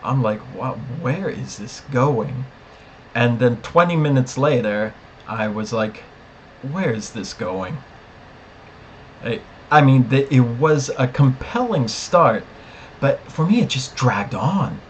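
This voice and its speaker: A man's voice: medium pitch, lively intonation, takes it slowly. A man co-hosting a casual review discussion, speaking in a blunt, exasperated way. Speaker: discussion show co-host. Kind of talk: casual TV episode review chat. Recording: clearly noisy background.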